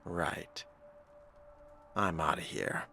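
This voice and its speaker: A man doing in-character game commentary with a dry, wordy, sardonic manner, often dropping into a breathy murmur. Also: low voice, drawn-out words, sharp tone. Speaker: role-playing let's player. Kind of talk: in-character game commentary, musing aloud and reading text. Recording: game music behind. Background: video game music.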